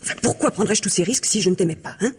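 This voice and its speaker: An actress playing a calculating, controlled mistress who coaxes and instructs, in 1950s French radio mystery style. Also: female voice, mid-range voice, racing, a little hiss or hum, lightly acted. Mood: coaxing, reassuring. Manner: coaxing, instructing, persuasive. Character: calculating, controlled mistress and office collaborator plotting crime.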